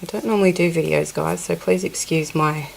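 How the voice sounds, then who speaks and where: A woman's voice: low-pitched, steady tone, brisk, gravelly, darkish tone, a little hiss or hum, poor audio. A woman with a cold, teaching a crochet technique over close-up shots of her hands, counting stitches under her breath and apologizing in asides. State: sniffly with a cold. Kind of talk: hands-on craft tutorial.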